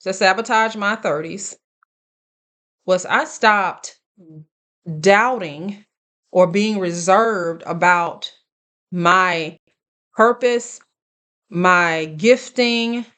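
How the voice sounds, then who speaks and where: A woman in her late thirties giving casual, earnest life advice straight to her viewers, speaking deliberately and checking in with them. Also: medium pitch, fairly bright tone. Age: late 30s. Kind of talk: casual direct-to-camera advice talk.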